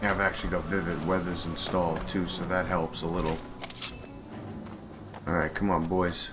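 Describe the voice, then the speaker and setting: A low-voiced man streams a video game with casual commentary. He talks informally, half to himself, while game music plays clearly behind him. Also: little pitch movement, normal speed. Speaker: streamer and mod creator.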